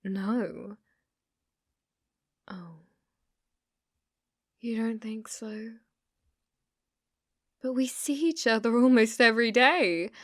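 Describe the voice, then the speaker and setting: Woman voicing obsessive stalker cashier, young British female, slow pace, intimate ASMR roleplay, hesitant turning quietly menacing, dry recording. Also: mid-range voice, no echo. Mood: lovestruck, obsessive. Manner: halting, flustered, slipping into breathy menacing whispers.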